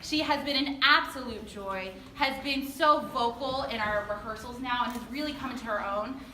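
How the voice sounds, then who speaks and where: High-pitched enthusiastic woman in an echoey room. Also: normal speed, sharp tone. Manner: enthusiastic, gushing, colloquial. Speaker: drama club teacher presenting student awards. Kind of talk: informal award speech to parents. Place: indoor performance space before an audience. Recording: echoey room, distant noisy mic.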